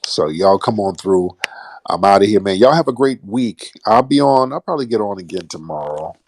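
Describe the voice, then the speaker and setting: A man's voice: lowish voice, animated, normal speed, a little mellow, no room sound. An American man hosting an online radio show, delivering a blunt, combative monologue in colloquial street slang with swearing and emphatic phrasing.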